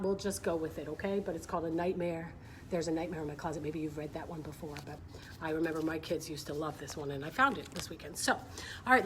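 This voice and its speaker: A woman's voice: low voice, a bit bright. A middle-aged woman chatting informally with young listeners and reading aloud on a casual children's story-time livestream. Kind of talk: casual livestream chat and picture-book read-aloud. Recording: clear background noise, live stream.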